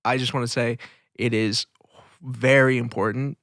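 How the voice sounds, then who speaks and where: A man's voice: mid-range voice, steady tone, normal speed, fairly bright tone, dry recording, studio quality. A man co-hosting a podcast in casual chat, with a teasing, playfully contrary manner. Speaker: podcast co-host.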